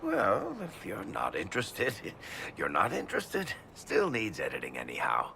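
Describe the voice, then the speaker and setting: A man's voice: deep voice, huge pitch swings, unhurried, vocal fry, sharp tone, slight background noise, exaggerated voice. An actor voicing a wry, boastful male dwarf author in a video game, teasing and mock-humble. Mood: playful.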